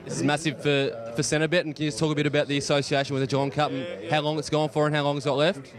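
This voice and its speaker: A man's voice: on the high side, level tone, racing. A man working as a field reporter, asking casual interview questions at an event. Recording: outdoor event with crowd noise.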